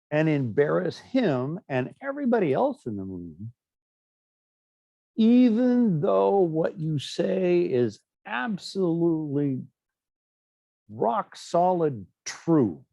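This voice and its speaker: A middle-aged man lecturing in a loose, anecdotal way, full of fillers and asides. His voice is gravelly and warm, with a lively pitch that jumps on emphasis. The recording is dry and slightly dull. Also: dry recording. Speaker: instructor. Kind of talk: classroom lecture.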